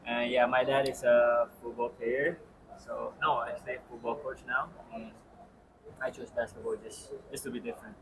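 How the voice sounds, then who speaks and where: A man's voice: medium pitch, moderate pace, gravelly. A young man from Indonesia, a college basketball player, talking in a laid-back, slightly unsure way. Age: young adult. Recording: distant mic with noise.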